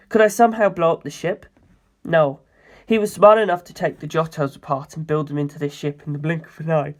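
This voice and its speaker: A story reader with a medium-pitched voice narrates first-person fiction. The delivery is scripted narration, read aloud as the main character's own account.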